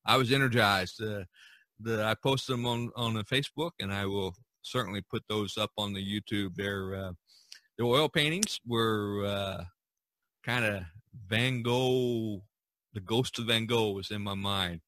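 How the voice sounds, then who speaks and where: A man's voice: fairly low pitch, fairly even intonation, measured pace, sharp tone. A man hosting a casual podcast about art, talking in a rambling, chuckling way. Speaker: podcast host and painter.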